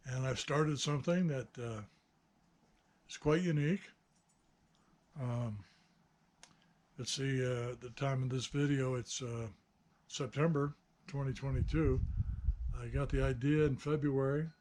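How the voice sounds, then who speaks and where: A man's voice: mid-range voice, fairly even intonation, a little slow, pauses often, darkish tone. A man of sixty-nine giving an unscripted, reflective vlog monologue, hesitant and rambling as he thinks aloud. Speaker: hobbyist maker presenting own video channel.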